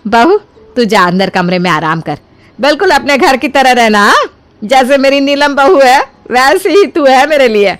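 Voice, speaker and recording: A woman playing a kindly older mother-in-law in a story, with an affectionate, coaxing, expressive manner. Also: high-pitched, moderate pace. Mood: doting.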